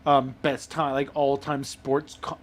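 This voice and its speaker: A man co-hosting a casual podcast, joking, swearing and laughing through quick banter. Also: mid-range voice, flat delivery. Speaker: podcast co-host. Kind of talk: casual chat.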